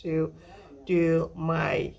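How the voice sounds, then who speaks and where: Young woman, husky voice, flu-roughened, lowish pitch, chatty makeup tutorial, repeats herself. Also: completely flat delivery, very slow, a little mellow. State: recovering from flu. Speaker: makeup vlogger. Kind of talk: casual makeup tutorial talk.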